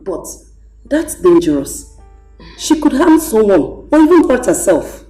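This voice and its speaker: A woman's voice: on the high side, sing-song, unhurried, warm tone, echoey room, faint music behind, poor audio. An actress with a Nigerian accent playing a proud, indignant middle-aged queen and mother in a film drama. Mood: stern, shaken. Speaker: actor.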